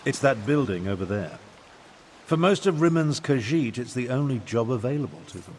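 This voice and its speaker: A man's voice: medium pitch, expressive, moderate pace, a little mellow, slight background noise, close to an everyday voice. A man playing an eloquent, indignant royal half-brother in a video game, speaking in a formal, articulate, theatrical manner. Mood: urgent, solemn, then bitter, indignant. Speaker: guide. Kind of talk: scripted video game dialogue.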